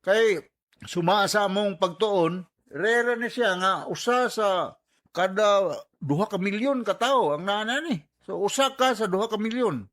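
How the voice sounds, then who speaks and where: Male radio host, Cebuano accent, fairly high voice, chatty advice style, joking asides, English phrases mixed in. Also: animated, measured pace, fairly bright tone.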